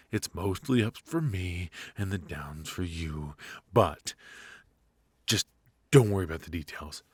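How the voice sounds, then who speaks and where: A man playing a cocky but affectionate secret agent in an intimate noir audio roleplay, with halting, teasing delivery. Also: deep voice, husky, no room sound, heavily acted. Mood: urgent, tender. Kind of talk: intimate ASMR roleplay voice acting.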